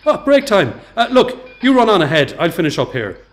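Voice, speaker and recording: A man's voice: high voice, very animated, brisk, soft background music. An actor with an Irish accent playing a patient, kindly tradesman in a radio play, explaining and encouraging in informal speech. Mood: brisk. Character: patient, kindly male tradesman mentoring a young apprentice.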